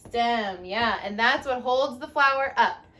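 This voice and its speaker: A woman teaching young children as a mother at home, reading a picture book expressively and pausing to ask simple questions. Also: a little high. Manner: expressive, instructive, asks leading questions.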